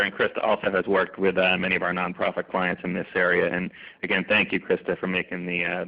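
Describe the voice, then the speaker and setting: A man with a low voice introducing speakers to a seminar audience. He talks haltingly and fills his pauses with ums and uhs. The sound is dull and muffled, as from a webcast of a meeting room. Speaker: seminar moderator. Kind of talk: hosting a law firm seminar. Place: conference room with a live audience.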